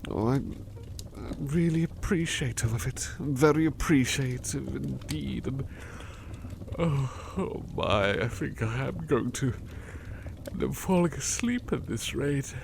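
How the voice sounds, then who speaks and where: A man's voice: mid-range voice, expressive, gravelly, a little hiss or hum. A man playing an overworked, affectionate butler in an intimate ASMR roleplay, speaking politely and hesitantly. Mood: affectionate, cosy, then sleepy, tender. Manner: hesitant, halting, polite old-fashioned phrasing, affectionate. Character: overworked, devoted, weary butler resting affectionately on partner's lap.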